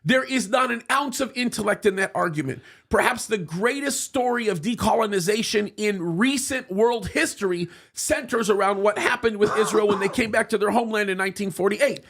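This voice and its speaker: A man holds forth in a studio talk show with heated conviction. His voice sits high and carries a gravelly fry. He stumbles over restarts and doubled words as he presses his point. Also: a bit bright. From United States, of Egyptian parents. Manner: emphatic, with many restarts and repeated words.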